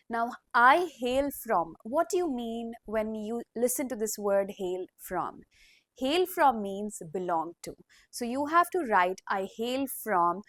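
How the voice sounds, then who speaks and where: Woman teacher, deliberate dictation, dry close sound, mid pitch. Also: a bit bright, no echo. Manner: slow, deliberate dictation of model sentences. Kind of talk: classroom language lesson.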